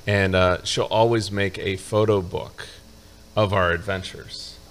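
A man hosts a live show in an easygoing, chatty way. His voice sits on the low side. He is from upstate New York and keeps the talk loose and friendly. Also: steady tone, unhurried, slight background noise. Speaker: show host. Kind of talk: casual live show chat.

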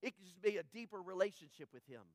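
A man's voice: high voice, lively intonation, quick pace, dry recording, clean studio sound. A male pastor preaching a conversational sermon, acting out the characters' thoughts and asking the congregation questions.

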